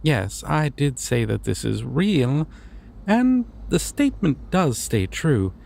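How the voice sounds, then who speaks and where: Guy voicing an old god of dreams, audio rp, polite and theatrical, really unhurried, creaky voice, world-weary. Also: medium pitch, sing-song, a little mellow, exaggerated voice. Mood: composed, reassuring. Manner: formal, theatrical, unhurried with deliberate pauses. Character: ancient male god of dreams, courteous, composed, world-weary. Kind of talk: scripted audio roleplay addressed to the listener.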